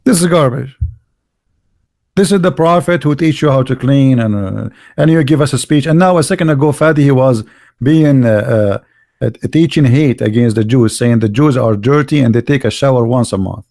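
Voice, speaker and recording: Middle-aged guy ranting sarcastically on his podcast, choppy english, gravelly voice. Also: medium pitch, normal speed, darkish tone. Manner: sarcastic, heavy accented English with broken grammar. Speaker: host of a religious debate show. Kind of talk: mocking live podcast monologue.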